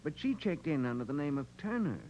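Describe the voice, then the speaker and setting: Polite, animated hotel clerk. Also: male voice, fairly high pitch, a little fast, highs cut off, slight background noise. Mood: polite, helpful. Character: polite, helpful male hotel desk clerk. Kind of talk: 1940s radio drama acting.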